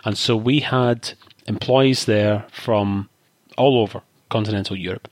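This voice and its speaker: A man's voice: lowish voice, steady tone, measured pace, dry recording. A man co-hosting a casual chat show, talking in long thoughtful asides. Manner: discursive, thoughtful, many asides. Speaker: podcast co-host.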